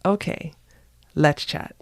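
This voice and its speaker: A woman hosting a language podcast, reading her intro and then chatting with a guest in an eager, excited way. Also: slow pace, dry recording, studio quality. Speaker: podcast host. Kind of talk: podcast interview and scripted intro.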